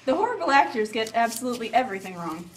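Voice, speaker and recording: A woman's voice: a little high, very animated, very fast. Woman narrator, slightly distant, playful. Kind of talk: scripted story narration with playful asides. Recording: slightly distant, faint background noise.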